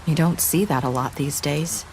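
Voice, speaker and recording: A woman's voice: deep voice, normal speed, low-quality recording. Weary young woman, rain behind. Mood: earnest, reassuring. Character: weary, sorrowful young woman confessing a secret, defiant. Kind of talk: naturalistic video game drama acting. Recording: steady rain noise behind the voice.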